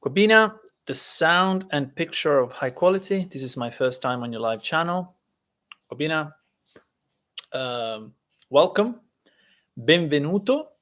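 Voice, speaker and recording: A man's voice: fairly high pitch, expressive, measured pace, long pauses, a bit bright. Chatty Italian man teaching live. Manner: chatty, hesitant, many fillers and restarts. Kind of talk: casual live-stream lesson.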